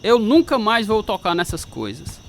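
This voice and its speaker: An actor with a Brazilian accent playing an earnest, reformed young sailor full of gratitude in a radio drama. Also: male voice, sits high, big pitch swings, normal speed, a little hiss or hum. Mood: resolute.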